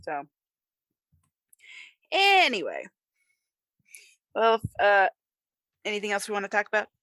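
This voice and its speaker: A woman's voice: medium pitch, huge pitch swings, unhurried, fairly bright tone, no room sound. An American woman co-hosting a podcast in casual chat, with folksy turns of phrase. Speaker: podcast co-host.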